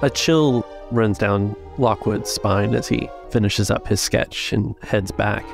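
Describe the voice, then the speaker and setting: A man's voice: lowish voice, takes it slowly, gravelly, over music. A man acting as game master, narrating scenes and refereeing a tabletop role-playing game.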